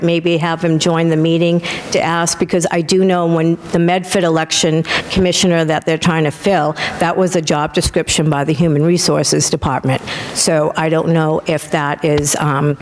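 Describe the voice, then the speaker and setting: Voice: medium pitch, a little hiss or hum. A council member answering tentatively in a meeting, with many hedges. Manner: hedging, tentative. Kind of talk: meeting discussion. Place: council chamber.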